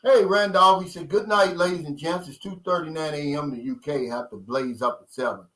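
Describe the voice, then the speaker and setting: Man in his fifties, bright voice, casual streetwise live-stream chat, reads out comments. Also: a little high, fairly quick, poor audio. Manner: loose, streetwise talk, chatting with viewers. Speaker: vlogger reading live chat.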